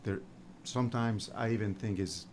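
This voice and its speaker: A man's voice: fairly low pitch, steady tone, moderate pace, noisy background. A man whose first language is Spanish, speaking emphatically with many restarts as a panel guest. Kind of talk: casual panel discussion.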